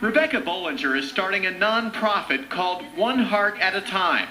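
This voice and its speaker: A man narrates a news package in a high, intensely bright voice. He reads from a script as a reporter, with faint noise behind him. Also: lively intonation, moderate pace, low-quality recording.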